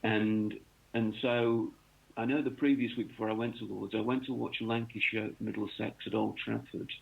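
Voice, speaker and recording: A man's voice: fairly low pitch, flat delivery, moderate pace, vocal fry, breathy edge. A man from North East England, a cricket author, talking through his work in a rambling radio interview full of ums and restarts. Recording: tinny phone line.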